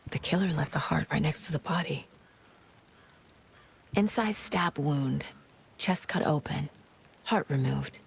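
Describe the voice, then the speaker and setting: A woman's voice: deep voice, measured pace, creaky, long pauses, slightly rough, full character voice. A woman playing a composed, dry-witted female FBI agent in a video game, speaking in clipped, analytical phrases. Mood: focused, analytical. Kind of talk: video game dialogue and investigative monologue.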